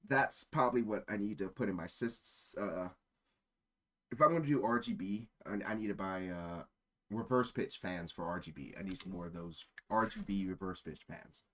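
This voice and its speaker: A man's voice: deep voice, normal speed, fairly bright tone. A man streaming casual chat in a rambling, easily sidetracked way. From born in Germany. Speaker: live streamer.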